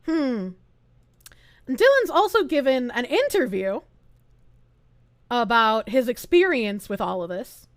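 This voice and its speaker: A woman's voice: a little high, slow pace, long pauses. A woman streamer chatting casually and sarcastically while reacting to clips. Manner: sarcastic and animated.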